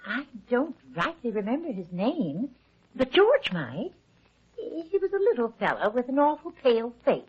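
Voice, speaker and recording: An actress playing a chatty, naive farm wife with a rural American accent and a husky voice in an old radio drama. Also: female voice, on the high side, big pitch swings, moderate pace, exaggerated voice. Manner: folksy, rambling, hesitant. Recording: muffled old radio recording.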